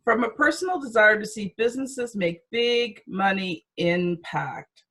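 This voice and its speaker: A woman's voice: mid-range voice, unhurried, fairly bright tone. Woman hosting an interview podcast. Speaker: podcast host. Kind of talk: podcast introduction and interview chat.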